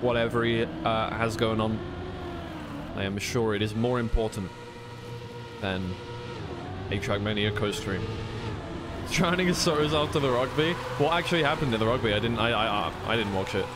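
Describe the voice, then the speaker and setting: A man's voice: on the low side, moderate pace, long pauses, noisy background. A man doing live racing game commentary as a caster, mixing play-by-play with informal chat and thinking aloud. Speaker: esports caster on a co-stream. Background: racing game car sounds.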